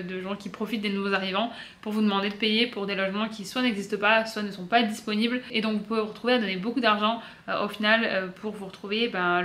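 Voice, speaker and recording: A woman from south-east France with a French accent, giving casual vlog advice in a chatty, halting way with frequent self-corrections. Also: mid-range voice, bright, forceful tone. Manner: chatty, hesitant, self-correcting with many pauses. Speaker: vlogger. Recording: roomy echo.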